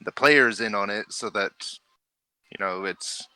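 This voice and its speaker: A man's voice: fairly low pitch, measured pace, bright, forceful tone, smooth, no echo, low-quality recording. A man running a tabletop game, chatting casually with his players as game master.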